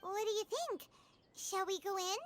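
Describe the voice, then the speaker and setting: A woman's voice: extremely high pitch, normal speed, slight background noise. A woman voicing a tiny cheerful floating fairy girl, a perky childlike companion in a video game. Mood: curious, eager. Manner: perky, speaks of herself in third person.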